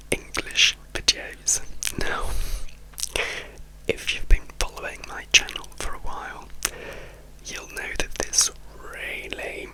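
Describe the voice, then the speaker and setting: Slow, whispering man. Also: low-quality recording. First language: Italian. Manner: soft, slow, intimate whisper with frequent restarts. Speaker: ASMR YouTuber. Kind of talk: whispered ASMR ramble. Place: indoors, home room.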